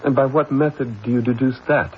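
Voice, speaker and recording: An actor playing an evasive, haughty company heir in a halting manner, in an old-time radio drama style. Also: male voice, mid-range voice, steady tone, close to an everyday voice. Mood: defensive, smug. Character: evasive, smug young company heir, accusing his stepmother. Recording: muffled old radio recording, faint noise.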